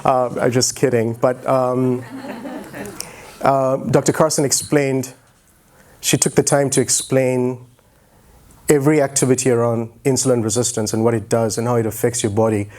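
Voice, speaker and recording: A man in his forties speaks to an event from the stage. He has a medium-pitched voice and tells his story in a loose, conversational style with a joking streak. He talks into a handheld mic as a guest speaker. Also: level tone, normal speed, darkish tone. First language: English. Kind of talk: informal motivational talk on stage. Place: stage in an auditorium, indoors. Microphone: handheld microphone.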